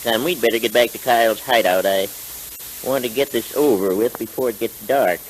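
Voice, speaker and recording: An actor playing a determined, impatient vigilante in a 1930s radio western, with clipped, theatrical delivery. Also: male voice, mid-range voice, moderate pace, close to an everyday voice, low-quality recording. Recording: hissy 1930s radio recording.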